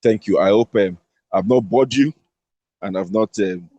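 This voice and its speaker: A man's voice: on the low side, moderate pace, warm tone, dry recording, dull audio. A man explaining tax rules to an online audience, often repeating words as he searches for them. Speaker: tax speaker.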